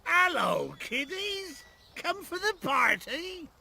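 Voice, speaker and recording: A man's voice: very high voice, big pitch swings, takes it slowly, creaky, crowd noise, exaggerated voice. An actor, a man, playing a jovial, tipsy village fellow in a comic video game cutscene. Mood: jovial, wary. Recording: loud music over the voice.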